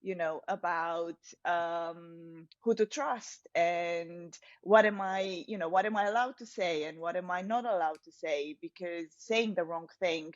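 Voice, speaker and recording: A woman with a Romanian accent speaks as a guest in an interview, her voice sitting a little low. She feels her way through sentences with frequent pauses and hesitations. The recording is close and dry but slightly dull and lo-fi. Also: no echo. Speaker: podcast guest, personal branding specialist. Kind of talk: interview answer, recounting personal story.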